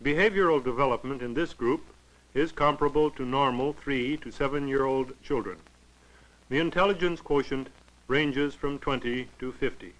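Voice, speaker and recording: A man narrating a 1940s educational film in a formal, clinical, measured way. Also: medium pitch, fairly bright tone, low-quality recording.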